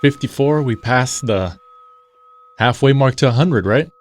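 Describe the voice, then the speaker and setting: A man hosting a casual podcast, relaxed and full of fillers, often echoing his co-host's words. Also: mid-range voice, a little slow, slight background noise. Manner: relaxed, filler-heavy, repeats others' phrases. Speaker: podcast host.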